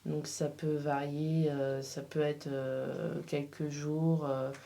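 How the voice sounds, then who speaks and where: A woman interview guest with a low, soft-edged, mellow voice. She speaks slowly and hesitantly, searching for words as she answers in a casual way. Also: little pitch movement, slight background noise. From Portugal. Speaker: interview guest, freelance embroiderer.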